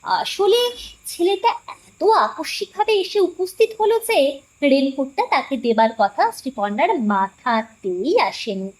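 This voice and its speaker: High, expressive female storyteller. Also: a little slow, poor audio. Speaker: narrator. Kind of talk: scripted dramatic story narration.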